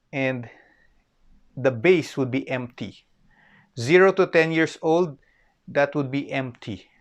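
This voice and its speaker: A man with a Filipino accent giving an informal explanatory history lecture, repeating phrases for emphasis and often checking in with "okay". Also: a little high. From Philippines.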